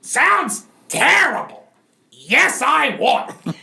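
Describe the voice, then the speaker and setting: A boastful, blunt rival dad, very slow and creaky. The voice is pushed high and strained, on a noisy recording. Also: male voice, very animated, bright, forceful tone, full character voice. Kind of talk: amateur comic dramatic reading.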